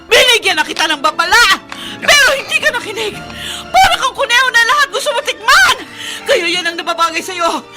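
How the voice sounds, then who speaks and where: High-voiced, fiercely protective radio-drama mother. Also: sing-song, brisk, hardly any pauses, music in the background. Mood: enraged, anguished. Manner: emotional, stern, declaiming. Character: anguished, vengeful mother and wife, fiercely protective. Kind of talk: Tagalog radio melodrama acting.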